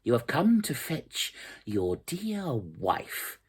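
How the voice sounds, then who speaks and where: Storybook witch, old woman played by a reader: husky, menacing, scornful, stretching words slowly. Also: mid-range voice, very animated, a little mellow, full character voice. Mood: scornful gloating. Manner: dramatic, menacing, drawn-out.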